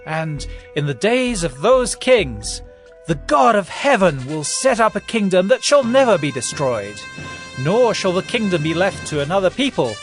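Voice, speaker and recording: An actor playing a young male prophet who solemnly interprets a king's dream in a measured, declamatory way, in a scripture audio drama. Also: sits high, a bit bright, exaggerated voice. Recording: music under the voice.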